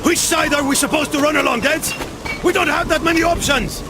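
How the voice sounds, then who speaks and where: A man's voice: very high-pitched, fairly even intonation, fairly quick, over music. An actor playing a boastful, wisecracking and jumpy medieval crossbowman in a video game, with flippant, exclamatory banter. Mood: urgent. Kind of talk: video game dialogue acting.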